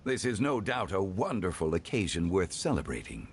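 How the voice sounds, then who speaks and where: An actor playing a dignified, erudite gentleman in an anime game, with formal, measured phrasing. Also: low-pitched, sing-song, slight background noise. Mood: composed, gracious.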